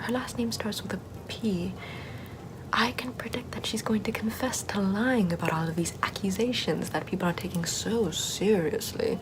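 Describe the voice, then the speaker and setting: A woman's voice: medium pitch, background noise, natural voice. A woman playing a chill, sardonic Puritan-era Salem witch in a whispery, casual ASMR roleplay. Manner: hushed, often whispering, wry and casual.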